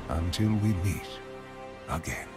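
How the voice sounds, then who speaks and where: A male actor playing a weary grey-haired archmage in a game cinematic, solemn and measured. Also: low-pitched, a little mellow, full character voice.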